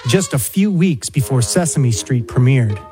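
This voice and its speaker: A man's voice: mid-range voice, animated, a little slow, mellow, faint music behind. A man delivering scripted documentary narration.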